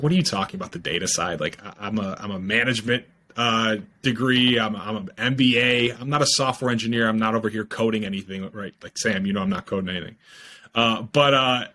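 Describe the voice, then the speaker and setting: Guest founder, man in his twenties, low voice, forceful bright delivery, quick and eager on a headset call. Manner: fast, eager explaining with many fillers. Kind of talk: casual podcast interview. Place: home room, video call.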